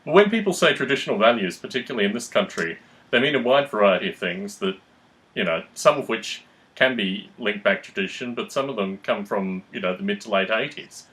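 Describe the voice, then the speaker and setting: A man talking reflectively in conversation, measured, analytical and articulate. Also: lowish voice, expressive, fairly bright tone, rough recording. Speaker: podcast host.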